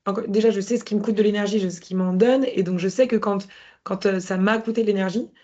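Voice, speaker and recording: Female interview guest, candid and thoughtful, hesitant phrasing, mid-pitched, slightly muffled recording. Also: steady tone, quick pace, dark tone. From Paris, France. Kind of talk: conversational personal testimony.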